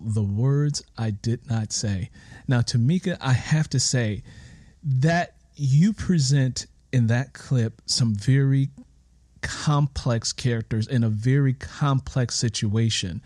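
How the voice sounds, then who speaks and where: A man hosting a conversational interview, speaking in deliberate, wordy phrases. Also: mid-range voice, mellow, no echo, clean studio sound. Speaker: interview host.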